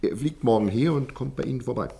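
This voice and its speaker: An actor playing a businesslike, measured police inspector in a 1950s German radio drama. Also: male voice, mid-range voice, gravelly, dark tone, breathy edge. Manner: measured, matter-of-fact. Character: businesslike, matter-of-fact male police inspector. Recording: faintly noisy old radio recording.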